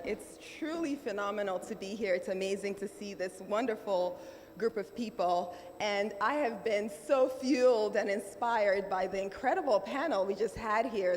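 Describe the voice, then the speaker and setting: Nigerian woman speaking in a big hall. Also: mid-range voice. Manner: measured, emphatic, with dramatic pauses. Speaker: keynote speaker. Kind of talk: motivational conference speech. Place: large conference hall. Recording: roomy hall reverb, faint room noise.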